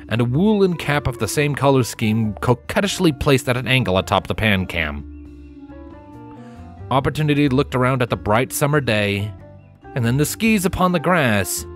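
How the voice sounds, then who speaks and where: A man narrating a scripted story as an audiobook-style reader. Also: medium pitch.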